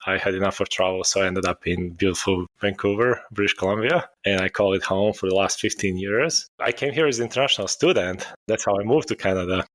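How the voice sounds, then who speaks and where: A man, a guest answering interview questions in a steady storytelling way. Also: low-pitched, fast, hardly any pauses. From Serbia. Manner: steady storytelling, occasional self-corrections. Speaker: guest, startup founder.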